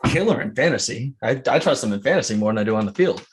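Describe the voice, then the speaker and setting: A man's voice: medium pitch, lively intonation, fast talker, breathy edge. A man co-hosting a sports podcast, chatting casually and offering opinions.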